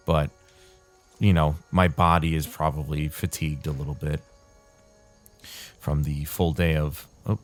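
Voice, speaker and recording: Male gaming host, very deep, dry-throated and soothing, loose rambling commentary, light music bed. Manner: calm, soothing, rambling delivery with laughs. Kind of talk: casual rambling commentary over gameplay.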